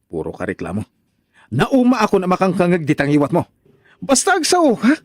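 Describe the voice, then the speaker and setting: A man playing an irritable, resentful farmer husband in a radio drama, gruff and quick to blame. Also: high-pitched, huge pitch swings, no echo, studio quality, heavily acted. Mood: angry. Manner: gruff, blaming, argumentative. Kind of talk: Filipino radio drama acting.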